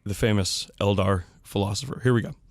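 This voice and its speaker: A man hosting a casual wargaming chat podcast, speaking informally and with some swearing, his voice hoarse and worn out. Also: fairly low pitch, fairly even intonation, a little fast, dry recording, studio quality. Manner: informal, profane. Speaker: podcast host.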